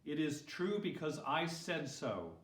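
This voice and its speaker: A man's voice: a little high, very animated, unhurried. A man voicing a stern, impatient male rabbi schoolteacher in a children's story, scolding emphatically. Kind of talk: children's Bible storybook reading. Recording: roomy echo, distant microphone.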